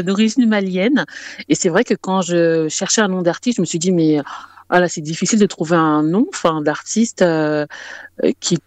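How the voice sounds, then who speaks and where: A woman's voice: on the low side, a little mellow, no room sound. A woman music artist giving conversational interview answers, often searching for her words and repeating herself.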